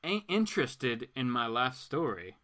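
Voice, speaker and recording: A man's voice: medium pitch, big pitch swings, unhurried, pushed and bright. A man voicing a plain-spoken family man from a comic book, with rustic, folksy phrasing. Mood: folksy, wistful.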